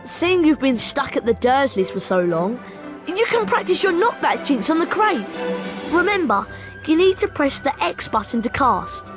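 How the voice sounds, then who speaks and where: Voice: high voice, sing-song, moderate pace, over music. An actor playing a friendly, eager young British boy wizard who guides a friend in a video game. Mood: friendly, instructive.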